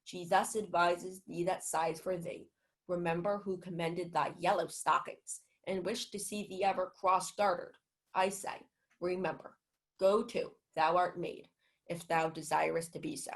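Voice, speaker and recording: Self-deluded, lovestruck steward played by a young woman, slightly low for a female voice, theatrical and pompous, Shakespearean radio comedy. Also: steady tone, unhurried, rough recording. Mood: grandly reading. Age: young adult. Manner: theatrical, self-important, musing aloud. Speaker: student actor. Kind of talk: Shakespeare comedy as 1940s-style radio play.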